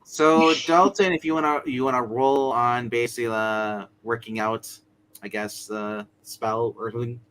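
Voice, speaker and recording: A man working as game master, narrating a tabletop roleplay story in a casual, halting way that circles back on itself. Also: mid-range voice, steady tone, a bit bright, low-quality recording. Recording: clear background noise.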